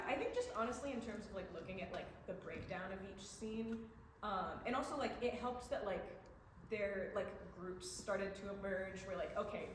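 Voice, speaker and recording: A young woman answering questions as a playwright in a casual, hesitant, think-aloud way. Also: mid-range voice. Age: young adult. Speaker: student playwright. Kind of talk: casual post-show Q&A answers. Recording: very echoey room, faint background noise.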